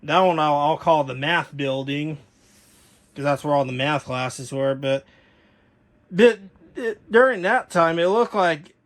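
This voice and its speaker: A man giving a casual, unscripted show-and-tell as a hobbyist presenter, talking in a rambling, halting, detail-focused way. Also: a little high, fairly bright tone. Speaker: hobbyist presenter showing his speaker collection.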